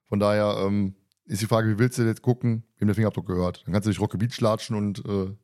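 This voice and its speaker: A man's voice: lowish voice, level tone, fast, a little mellow. A man co-hosting a casual discussion, talking in a colloquial, teasing way. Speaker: podcast co-host. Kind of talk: casual discussion of an audio drama.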